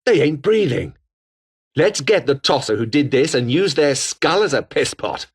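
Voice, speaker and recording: A man's voice: on the high side, big pitch swings, normal speed, breathy edge, full character voice. A male actor voicing a menacing, foul-mouthed revolutionary foot soldier with a British accent, taunting and theatrical in video game barks. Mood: menacing, rallying.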